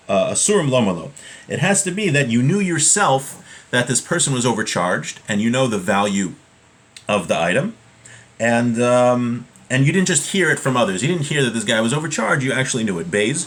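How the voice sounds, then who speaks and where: Male religious teacher, thirties, mid-pitched voice, explanatory and conversational, informal home-study lesson. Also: fairly bright tone. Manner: conversational, explanatory, repeating key points. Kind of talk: informal religious lesson, reading Hebrew text and explaining it in English. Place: small room at home, indoors.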